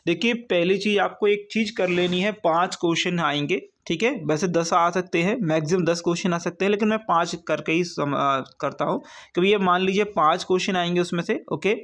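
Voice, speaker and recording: High-pitched Indian man teaching exam prep. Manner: repetitive, asks and answers own questions, frequent check-in tags. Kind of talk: informal exam-prep lecture.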